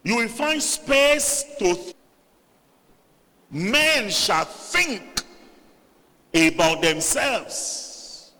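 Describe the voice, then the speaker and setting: A man with a Nigerian Yoruba accent preaching a sermon, whose first language is Yoruba, who swings from loud stressed phrases down to quiet ones. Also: big pitch swings, very slow, pauses often. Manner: emphatic, rising to loud stressed phrases, then dropping low. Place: church hall. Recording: reverberant hall.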